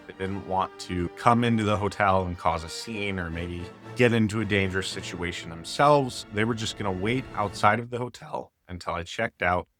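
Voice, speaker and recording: Male, very low voice, casual testimony storyteller, soft music underneath. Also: vocal fry. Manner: casual, conversational storytelling with fillers. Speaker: speaker sharing a testimony. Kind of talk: personal testimony.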